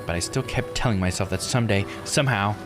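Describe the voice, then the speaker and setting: A man's voice: on the low side, fast, over music, natural voice. A man voicing a resentful, haughty young woman, a scorned noble-born island diver, reading visual novel dialogue bluntly. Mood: resigned, confiding. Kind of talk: visual novel character read-aloud.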